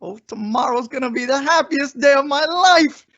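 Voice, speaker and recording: A man's voice: extremely high pitch, moderate pace, sharp tone, muffled recording. A man playing a flustered, well-meaning young husband in an old radio sitcom, hesitant and given to exclaiming. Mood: excited. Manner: hesitant, exclaiming comic delivery. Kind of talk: 1950s radio sitcom acting.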